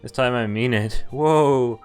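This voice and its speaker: Guy streaming a visual novel, mid-pitch voice, reads the story out loud and gets hyped about menus, faint music in the back. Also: takes it slowly, clean tone. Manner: excitable, thinks aloud, reads story lines in first person. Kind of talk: live game commentary and reading text aloud.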